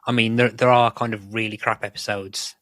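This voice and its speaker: Man, low pitch, very dry sound, casual podcast talk, rambling, self-correcting. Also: level tone, a little fast, bright, forceful tone, dry recording. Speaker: podcast co-host.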